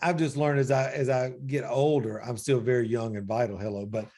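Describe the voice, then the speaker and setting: Forties guy hosting a faith chat, thinks out loud, lots of "you know", mid-pitched voice. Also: steady tone, a little fast. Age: 40s. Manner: reflective, heavy use of "you know". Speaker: podcast host. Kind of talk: faith-based conversational interview.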